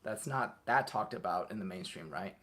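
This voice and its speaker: A young man hosting a casual livestream, reading viewers' comments aloud and answering them off the cuff in a relaxed way. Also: low-pitched, normal speed. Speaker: livestream host answering chat.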